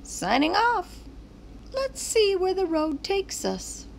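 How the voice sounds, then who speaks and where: A woman's voice: extremely high pitch, sing-song, slow pace, creaky, slight background noise, pitched above the performer's own voice, exaggerated voice. A woman voicing a plucky, eager little stick-figure kid from a picture book, in a childlike exclaiming manner. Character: excitable little stick-figure sign kids, adventurous and plucky.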